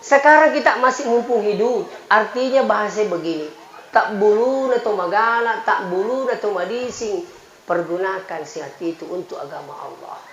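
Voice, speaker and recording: Male preacher, forties, Bugis accent, high-pitched voice, bantering folksy sermon, dull echoey sound. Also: normal speed, a bit bright. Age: 40s. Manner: folksy, bantering, repeats phrases to listeners. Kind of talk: humorous sermon mixing two languages. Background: crowd murmur and light noise.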